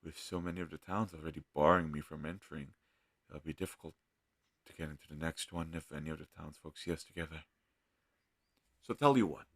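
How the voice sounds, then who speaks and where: A man playing an earnest, gentle healer mage in a fantasy audio roleplay, talking hesitantly and thoughtfully to a travel companion. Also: rumbling low, fairly quick, long pauses, no room sound, studio quality. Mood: thoughtful, determined. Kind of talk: scripted fantasy audio roleplay addressed to the listener.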